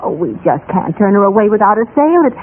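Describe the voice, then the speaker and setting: An actress playing a genteel, soft-hearted, hospitable housewife with a polite, fluttery, gently pleading manner, in 1950s radio drama style. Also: female voice, mid-range voice, big pitch swings, fast, phone-quality sound, lightly acted. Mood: sympathetic, pleading. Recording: band-limited old radio broadcast, background noise.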